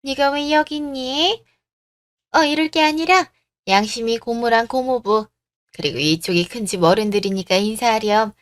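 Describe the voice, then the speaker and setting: A woman's voice: a little high, normal speed, dry recording, studio quality, lightly acted. A woman playing a haughty, entitled future mother-in-law. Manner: condescending, presumptuous. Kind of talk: Korean radio story drama.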